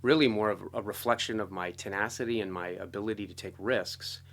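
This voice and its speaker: A middle-aged American man telling his story casually as an interview guest, talkative and animated. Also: lowish voice, brisk. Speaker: interview guest, composer and guitarist.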